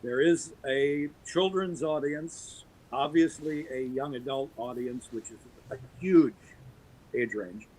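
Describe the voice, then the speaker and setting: A man's voice: mid-range voice, slow, a little mellow, low-quality recording. A man, a guest on a podcast, talking casually and with conviction about producing audio drama, getting onto his soapbox. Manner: opinionated, holding forth on a pet topic.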